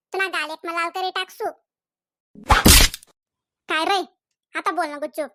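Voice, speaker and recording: A woman's voice: very high-pitched, fairly bright tone, dry recording, clean studio sound. A woman voicing a scolding, jealous young village woman in a comedy cartoon, nagging and accusing in a Khandeshi Ahirani accent. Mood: angry, threatening. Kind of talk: comedy cartoon dialogue in dialect.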